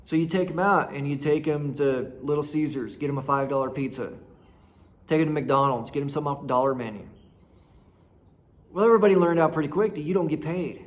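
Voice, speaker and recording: A man's voice: a little high, fairly quick. A man in his thirties from rural Nebraska, telling personal stories in a blunt, folksy, rambling way. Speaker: storyteller host. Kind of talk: casual personal storytelling.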